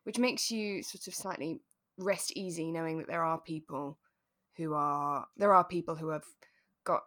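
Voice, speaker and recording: Lowish-voiced British woman, thoughtful chat. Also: fairly even intonation, moderate pace, fairly bright tone. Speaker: podcast co-host. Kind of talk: casual chat discussing a radio drama.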